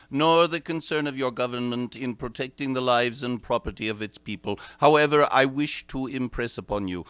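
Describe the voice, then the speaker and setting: Man acting as a smooth, solemn government statesman. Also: medium pitch, fairly bright tone, no room sound, close to an everyday voice. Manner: formal, measured, stately oratory. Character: solemn, dignified male government secretary addressing the nation. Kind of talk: 1930s radio drama oratory.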